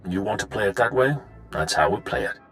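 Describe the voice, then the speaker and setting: A blustering guard commander in a sci-fi game. He is officious and stern, his pitch swinging widely as he barks, with music behind him. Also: animated, fairly quick, fairly bright tone. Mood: stern warning. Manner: barking, officious. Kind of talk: video game voice acting.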